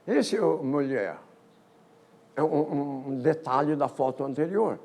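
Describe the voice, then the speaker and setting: An older man presents a history talk at a physics colloquium. His voice is gravelly and a little husky, sitting somewhat high for a man, and it swings up and down in a lively way. He stumbles over words and repeats himself as he moves through his slides. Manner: halting, with many repeated words and false starts. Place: lecture auditorium.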